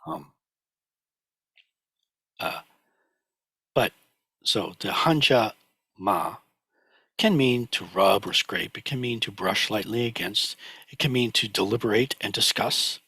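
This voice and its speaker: A man lecturing over slides, a little hoarse and husky while he gets over a cold. His voice is low-pitched yet bright and forward. He talks haltingly, with many fillers and restarts. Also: animated, no echo. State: recovering from a cold, slightly hoarse. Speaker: teacher of a sutra class.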